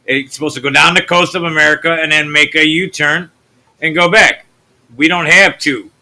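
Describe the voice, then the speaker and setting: A man from the US Midwest with a naturally deep voice, hosting a channel and talking loosely through his screen, trailing off into mutters. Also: fairly even intonation, moderate pace, pushed and bright. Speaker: channel host. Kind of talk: rambling screen-share commentary.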